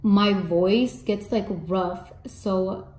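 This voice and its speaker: A young woman with a mellow, slightly raspy voice that sits deep for a woman. She chats casually to her viewers in slang, with candid asides, while she does her makeup at home. The room adds a clear echo to her voice. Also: extremely slow, slight background noise. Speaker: makeup artist and beauty vlogger. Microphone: camera in front, face framed close.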